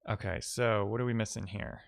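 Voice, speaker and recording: A man's voice: fairly low pitch, fairly even intonation, a little fast, vocal fry. A man giving casual, think-aloud commentary as a live-coding streamer, often muttering to himself and trailing off.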